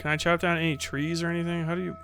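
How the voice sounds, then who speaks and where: A man's voice: a little high, level tone, very fast, a bit bright, soft background music. A man streaming a game, muttering casual commentary, swearing and reading menus aloud.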